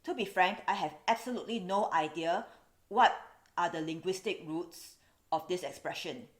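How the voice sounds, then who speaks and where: A woman in her forties from Singapore with a Singaporean English accent, explaining things in a measured, wry vlog. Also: lowish voice, expressive. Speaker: video host. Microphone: camera's built-in mic.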